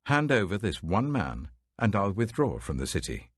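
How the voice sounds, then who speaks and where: Man doing a hard army commander in a bible story, forceful, swooping delivery, husky. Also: lowish voice, very animated, moderate pace, voice pushed up. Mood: emphatic, firm.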